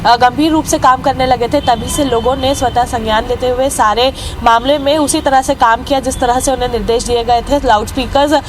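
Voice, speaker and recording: A woman's voice: high voice, steady tone, brisk. A woman correspondent giving a live field report for television news from outdoors. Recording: noisy outdoor urban location with traffic.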